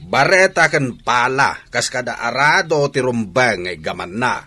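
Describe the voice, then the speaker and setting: Sharp-voiced scornful farmer father acted by a man. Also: medium pitch, animated, a little slow, exaggerated voice. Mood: dismissive. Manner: gruffly dismissive. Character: stern, scornful middle-aged farmer father. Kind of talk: Ilocano radio drama acting.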